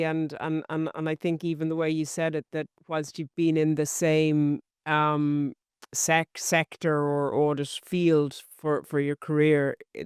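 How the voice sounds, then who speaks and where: A woman hosting an interview podcast, encouraging and warm, often repeating words as she finds her phrasing. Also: sits low, smooth, no echo, studio quality. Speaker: podcast host.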